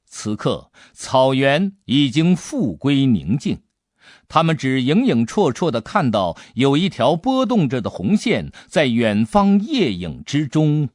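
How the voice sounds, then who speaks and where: A man narrating an adventure novel as an audiobook, with expressive, deliberate dramatic storytelling. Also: medium pitch, no echo, clean studio sound.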